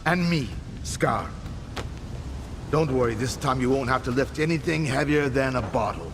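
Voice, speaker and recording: A man's voice: medium pitch, lively intonation, moderate pace, background noise, close to an everyday voice. A man acting a charismatic, teasing rebel leader in a video game, with a sardonic, theatrical and familiar manner. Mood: wry, relaxed. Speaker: video game character in cutscenes. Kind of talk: dramatic video game dialogue. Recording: loud music behind dialogue.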